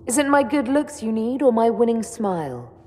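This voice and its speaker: An actress playing a dry, sardonic young heroine in a video game, with a wry, deadpan delivery. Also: female voice, fairly high pitch, moderate pace. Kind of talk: dramatic video game dialogue.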